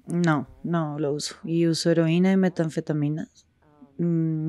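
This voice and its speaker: A woman speaking frankly in a street interview, with halting pauses and restarts. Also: sits low, a little mellow, no echo.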